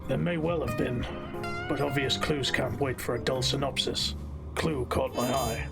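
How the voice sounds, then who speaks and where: An actor playing a hard-boiled, self-important lawman, narrating a noir audio drama in a pompous, brooding deadpan. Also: mid-range voice, moderate pace, gravelly, over loud music, natural voice.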